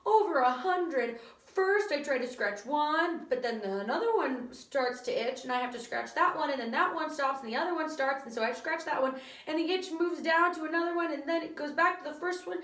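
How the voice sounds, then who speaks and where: A woman acts out a whiny little schoolgirl with a high, complaining voice, pleading on and on. The storybook voice rings in a roomy space. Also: brisk, hardly any pauses, fairly bright tone. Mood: whining.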